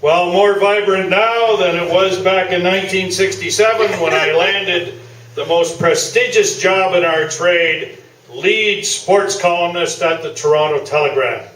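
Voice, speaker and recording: A man's voice: sits high, measured pace, fairly bright tone. Guy acting a boastful pompous newspaper columnist in a stage radio play, echoey room, some noise. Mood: grandiose. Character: pompous, self-important veteran male newspaper sports columnist. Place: small theatre, live performance. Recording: roomy, distant stage recording with noise.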